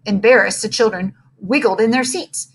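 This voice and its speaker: A woman narrating a children's storybook aloud in an expressive, dramatic way. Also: medium pitch, normal speed, creaky, slight background noise, low-quality recording.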